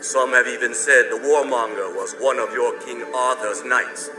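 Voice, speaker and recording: An actor playing a proud, menacing crime-boss collector in a game cutscene, speaking in cold, formal, contemptuous threats over music. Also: male voice, medium pitch, steady tone, moderate pace, natural voice, rough recording. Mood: cold, scornful.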